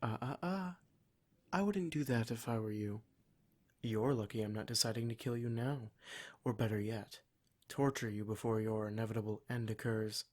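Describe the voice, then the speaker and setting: A man's voice: fairly low pitch, normal speed, a little mellow, natural voice. A man playing a cruel, smug demon in a scripted ASMR roleplay, speaking in a formal, mock-polite and menacing way. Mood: threatening. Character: cruel, smug male demon, taunting captor of adventurers.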